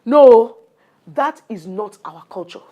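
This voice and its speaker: A woman's voice: fairly high pitch, lively intonation, slow, mellow. A woman with a Nigerian accent acting as a condescending, bossy family planning nurse in a radio drama, talking down with exclamations and little tags. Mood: scandalized. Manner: patronizing, talks down with exclamations and tags. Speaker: nurse in an educational radio play.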